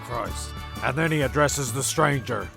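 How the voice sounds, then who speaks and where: Deadpan, fussy island narrator for radio comedy man, mid-range creaky voice, music behind. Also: mid-range voice, unhurried. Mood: mildly exasperated, then wry narrating.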